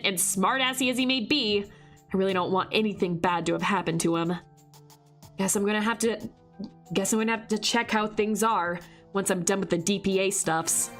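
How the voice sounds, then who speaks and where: A woman's voice: medium pitch, fairly bright tone. A woman streaming a visual novel, reading it aloud and chatting through her thoughts with frequent restarts. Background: faint music.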